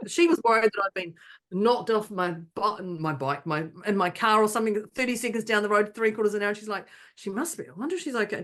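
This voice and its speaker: Woman, podcast co-host, animated storyteller, restarts often, quotes others in voices. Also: medium pitch. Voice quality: not calming, by her own account. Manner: animated anecdotes, many restarts, acts out others' lines. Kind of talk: casual podcast chat, storytelling.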